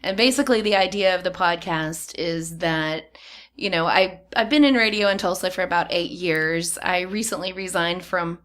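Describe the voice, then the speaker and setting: Woman, podcast guest, casual chat, easy laughs, somewhat low pitch. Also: moderate pace. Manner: relaxed, laughs easily, chatty with fillers. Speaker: podcast guest, radio producer.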